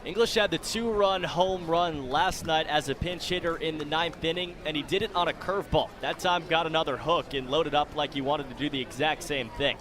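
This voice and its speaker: A male color commentator with a fairly high-pitched voice recaps plays for a live sports broadcast. A stadium crowd is clearly heard behind him as he breaks down the action. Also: brisk, hardly any pauses.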